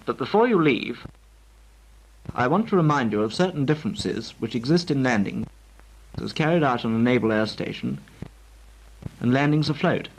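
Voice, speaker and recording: Male actor as British 1940s navy flying instructor: formal, precise, animated pitch, slight old-film noise. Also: normal speed, pauses often, lightly acted. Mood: formal, instructive. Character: brisk, formal male naval flying instructor briefing trainees. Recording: noisy, crackly 1940s film soundtrack.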